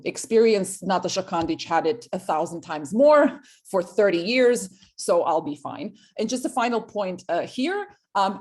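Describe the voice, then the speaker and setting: A woman's voice: mid-range voice, darkish tone. A woman from Croatia, an author talking in an online panel discussion, hesitant with many restarts.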